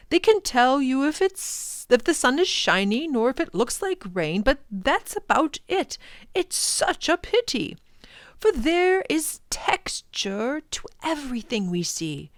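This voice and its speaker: Woman reading as a passionate, animated professor. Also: fairly high pitch, no echo, clean studio sound. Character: older male professor, passionate, earnest, wonder-filled teacher. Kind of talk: children's storybook read-aloud.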